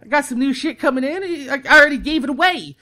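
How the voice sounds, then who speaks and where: A man in his thirties telling a casual, exasperated story with heavy swearing, in the animated manner of a gaming YouTuber. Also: very high voice, fast. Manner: animated, heavily profane, exasperated.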